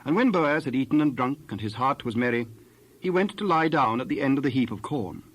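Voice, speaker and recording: A man's voice: mid-range voice, animated, fairly quick, poor audio. A man narrating a dramatized Bible story in archaic English, reading from a script.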